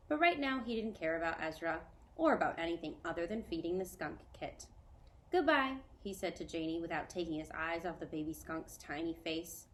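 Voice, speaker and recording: Gentle teacher reading aloud. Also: female voice, lowish voice, slight background noise. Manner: clear, measured and gentle. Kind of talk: reading a children's novel aloud.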